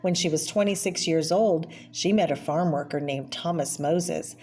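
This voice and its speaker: A woman with a slightly low voice reads a picture book aloud for children. She explains as she goes, pointing out details and putting questions to the listener. Background noise sits behind her voice. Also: moderate pace, mellow. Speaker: read-aloud storyteller.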